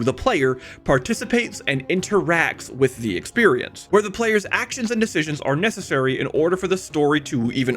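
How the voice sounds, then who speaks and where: A man's voice: fairly high pitch, a bit bright. A man narrating a scripted video essay in an emphatic, conversational way, speaking straight to the viewer. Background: background music.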